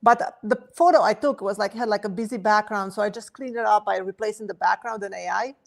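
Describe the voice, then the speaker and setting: Gravelly-voiced woman telling a story. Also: medium pitch, fairly quick. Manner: anecdotal, filler-heavy "like". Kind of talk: casual livestream chat.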